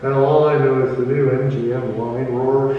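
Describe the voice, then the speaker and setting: Dude presenting at a con panel, casual, muffled and really echoey. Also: male voice, mid-range voice, little pitch movement, moderate pace. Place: convention panel room. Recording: echoey hall, distant, dull, noisy.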